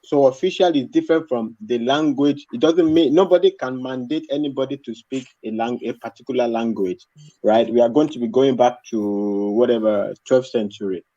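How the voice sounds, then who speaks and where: A man's voice: medium pitch, normal speed, warm tone, no room sound. A man making his case on a live panel, insistent and repeating his points. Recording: dull lo-fi sound.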